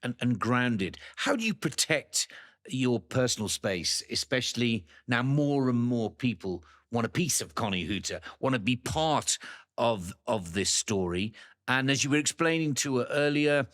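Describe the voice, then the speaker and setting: A man's voice: medium pitch, animated, measured pace, a bit bright. A man hosting an interview, asking long questions and giving friendly, encouraging replies. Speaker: interviewer host. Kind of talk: podcast interview.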